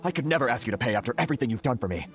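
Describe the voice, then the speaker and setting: An actor playing a polite, earnest older merchant in a video game, timid and easily flustered. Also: male voice, mid-range voice, lively intonation, rapid-fire, lightly acted. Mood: grateful. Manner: stammering, polite. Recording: music behind the voice.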